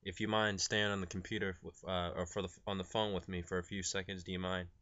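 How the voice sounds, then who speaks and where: Young male phone agent, bright tone, courteous and halting, lo-fi call audio. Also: sits low, level tone. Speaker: prank caller. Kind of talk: phone call, posing as a customer service agent. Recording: dull lo-fi phone call recording.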